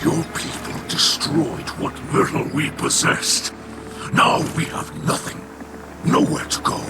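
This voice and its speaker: A man voicing a solemn, sorrowful alien leader in a video game, with a raspy, whispering growl and a grave, deliberate delivery. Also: a little high, animated. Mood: aggrieved, pleading. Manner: slow, grave, deliberate storytelling. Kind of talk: video game cutscene acting. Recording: loud music behind the voice.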